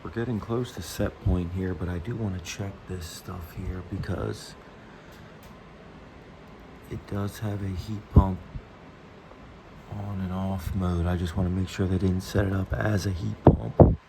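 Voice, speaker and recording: A man's voice: sits low, steady tone, measured pace, creaky, long pauses, noisy background. A man working as an HVAC technician, talking through a casual work vlog with a stuffed-up, congested voice while thinking aloud. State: stuffed up, under the weather.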